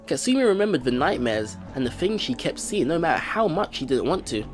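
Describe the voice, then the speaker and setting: High-pitched man hosting a let's play. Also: big pitch swings, a little fast, soft background music. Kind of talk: video game commentary, reading story text aloud.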